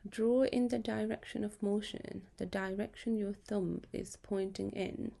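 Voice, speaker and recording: Slow, mellow woman narrating a lesson. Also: medium pitch, steady tone, creaky. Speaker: physics tutor presenting. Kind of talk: scripted narration of an exam lesson.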